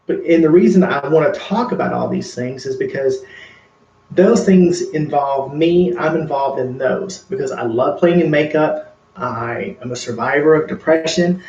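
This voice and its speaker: A man's voice: mid-range voice, a little mellow, reverberant, muffled recording, a little hiss or hum. A man casually chatting to his livestream viewers, rambling and earnest with many fillers. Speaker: makeup vlogger.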